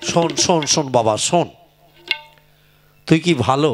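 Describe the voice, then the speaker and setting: A man's voice: on the high side, normal speed, voice pushed down, close to an everyday voice. A man voicing an older village father in a comic puppet show, chiding and coaxing with questions, with music behind.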